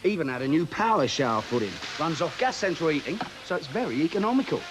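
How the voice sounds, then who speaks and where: Cheeky, wry bloke with lively delivery. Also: male voice, on the high side, quick pace. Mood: wry, nosy. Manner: chatty, wry asides. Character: cheeky man left minding a mate's house. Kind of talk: television advert monologue. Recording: music behind the voice.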